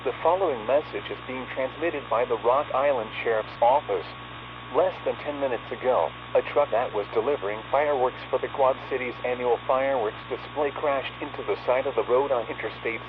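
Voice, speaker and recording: A man's voice: medium pitch, natural voice. A man's voice as an emergency alert announcer, reading scripted warnings in a formal, impersonal, official manner. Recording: noisy tinny radio-like narrow band.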